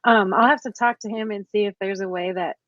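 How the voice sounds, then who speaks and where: A woman's voice: medium pitch, fairly even intonation, fairly quick, a bit bright, dry recording. A woman chatting over a video call, stumbling through restarts and fillers as she asks her questions. Her voice sits mid-range, and the sound is dull and dry, with almost no room around it. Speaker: interviewer. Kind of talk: casual conversation.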